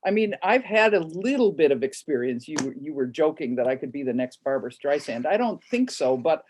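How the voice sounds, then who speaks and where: A woman opera singer on a panel, with a low speaking voice, telling her story in a relaxed, reflective way. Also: brisk, slight background noise. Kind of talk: panel discussion.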